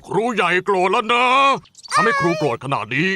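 A woman voicing a stern, hot-tempered school principal in a cartoon dub, gruff and scolding. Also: mid-range voice, huge pitch swings, fairly quick, background noise. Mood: furious.

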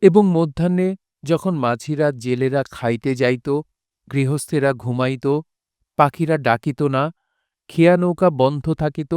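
A man narrating a literary audio drama in a measured, poetic recitation. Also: a little high, a little mellow, clean tone, no room sound, studio quality.

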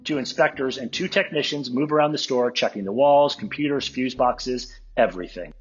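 A man's voice: mid-range voice, normal speed, dull audio, faint music behind. A man reading screen directions aloud at a live table read.

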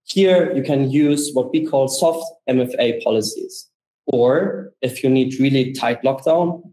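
A man's voice: medium pitch, dark tone, echoey room, low-quality recording. A young man, a company co-founder, giving an enthusiastic, conversational conference keynote with many fillers. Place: room with paintings on the walls.

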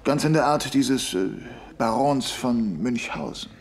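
An actor playing a dry, authoritative Victorian police inspector in an audio drama. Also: male voice, mid-range voice, a little slow, close to an everyday voice. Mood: dry, businesslike.

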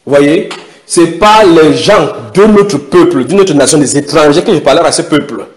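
A man preaching a sermon, questioning the congregation and stretching out key words for emphasis. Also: on the high side. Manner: emphatic, questions the audience, drawn-out stresses.

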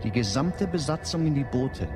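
A stern Martian fleet commander, acted by a man in a radio play. He speaks formally and coldly, used to being obeyed. Loud, ominous music swells behind his medium-pitched voice. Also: animated, normal speed, close to an everyday voice. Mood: stern, commanding. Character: stern Martian air fleet commander, male, cold and unyielding. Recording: voice under very loud dramatic music.

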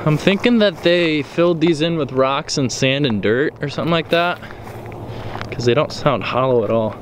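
A man's voice: fairly high pitch, moderate pace. An American man hosting an exploring vlog, talking casually and curiously to viewers as he walks. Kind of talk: casual walking commentary to viewers. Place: outdoors in an open overgrown field. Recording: noisy outdoor camera audio.

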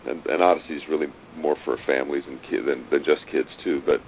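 A man with an extremely deep voice talks as an interview guest. He explains in a halting, conversational way, doubling back on words. The line is thin and phone-like, with faint noise underneath. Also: fairly even intonation. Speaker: interview guest, audio drama writer and producer. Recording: noisy, tinny phone-like call line.

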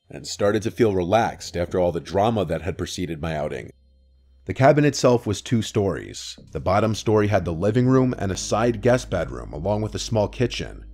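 A man reading first-person horror stories in a scripted narration, with a low, hushed storytelling delivery. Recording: soft music underneath.

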